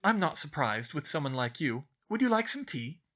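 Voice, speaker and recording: A man's voice: fairly high pitch, sing-song, moderate pace, pushed and bright, heavily acted. A man voicing a kindly, concerned woman professor, friendly and reassuring in manner. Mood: welcoming.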